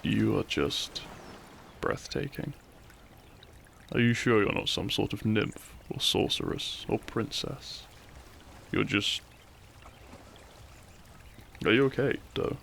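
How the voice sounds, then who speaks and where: A man playing a cheeky, tender and protective young bandit outlaw in an intimate romantic audio roleplay, speaking in a gentle, courtly, teasing way. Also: fairly low pitch, slow pace, gravelly, long pauses, slight background noise, full character voice. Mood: teasing, adoring.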